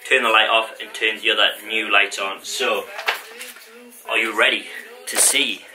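A young man with a fairly low voice talks casually to his camera, rambling a bit and poking fun at himself. He is in a small garage gym, and the camera mic picks up a steady hiss of background noise. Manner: chatty, rambling, self-deprecating. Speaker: fitness vlogger. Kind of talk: casual vlog talk to camera. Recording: noisy home camera audio.

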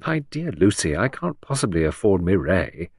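A man voicing a quiet, wry young woman in an audiobook, in a soft, subdued manner. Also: low voice, very animated, moderate pace, breathy edge, no echo, studio quality, exaggerated voice. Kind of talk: audiobook character voice.

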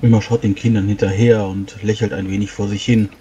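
A man playing a tabletop role-playing game, narrating his character in the third person with a mellow voice. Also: fairly low pitch, level tone, brisk, slight background noise.

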